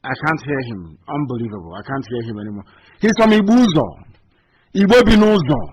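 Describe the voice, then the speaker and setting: A man hosting a live call-in radio show, talking forcefully and repeating himself as he urges callers on. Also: fairly high pitch, big pitch swings, brisk, slightly rough, rough recording. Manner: forceful, repetitive, urging callers on. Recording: faint noise, live radio stream.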